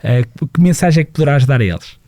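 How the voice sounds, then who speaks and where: A man's voice: medium pitch, lively intonation, fast, dark tone, no room sound, clean studio sound. A man from Portugal with a European Portuguese accent, hosting a business podcast in a chatty, personal way with many fillers. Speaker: podcast host.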